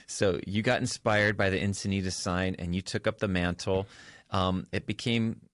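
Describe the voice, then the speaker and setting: A man's voice: low-pitched, fairly even intonation, moderate pace, a bit bright, no echo, clean studio sound. A man hosting a conversational interview, affirming his guest, recapping and prompting. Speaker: podcast host, interviewer.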